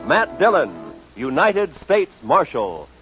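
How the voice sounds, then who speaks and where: A man's voice: a little high, sing-song, slow. A man as the bold, dramatic announcer of a 1950s radio western, delivering a scripted, declamatory introduction. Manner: emphatic, declamatory. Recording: muffled old radio, loud music behind.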